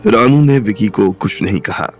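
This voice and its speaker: A man narrating an audio drama with steady storytelling. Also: lowish voice, moderate pace. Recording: soft music bed underneath.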